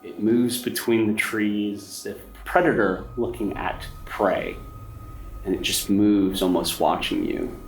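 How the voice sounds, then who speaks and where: A man's voice: fairly low pitch, fairly even intonation, slow pace. A man narrating a horror tabletop game as game master, dramatic and suspenseful, with repeated phrases. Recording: roomy, distant mic, faint noise.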